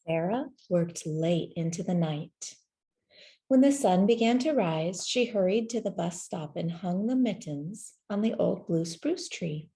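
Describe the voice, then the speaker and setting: Unhurried, mellow woman reading a storybook. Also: on the low side, lively intonation. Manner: measured, gentle storytelling with deliberate pauses. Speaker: storybook reader. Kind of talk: scripted children's story narration, read aloud.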